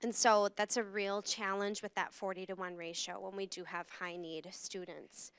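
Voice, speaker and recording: A woman who teaches English learners answers a question in an earnest, explanatory way. Her voice is clear and smooth, recorded dry and close. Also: medium pitch, little pitch movement, moderate pace, fairly bright tone, no room sound.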